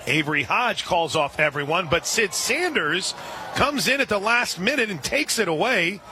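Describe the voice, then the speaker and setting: A man doing live radio play-by-play of a softball game, relaxed and chatty, lifting his voice on big plays. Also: sits high, normal speed, slight background noise.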